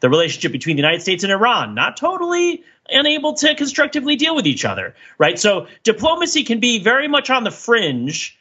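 A man with a bright, forceful and husky voice works through ideas as a podcast co-host. He is wordy and emphatic, swinging widely in pitch as he makes each point. The recording is a little dull and lo-fi. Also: huge pitch swings, brisk, few pauses. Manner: wordy and emphatic, often checking with "right?". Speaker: podcast co-host discussing a TV series. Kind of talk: casual analytical discussion among co-hosts.

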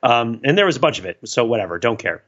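Male podcast co-host, forceful bright tone, wry and wordy, slightly muffled lo-fi track. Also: medium pitch, sing-song, fast. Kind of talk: casual podcast chat, storytelling. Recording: dull, lo-fi voice track.

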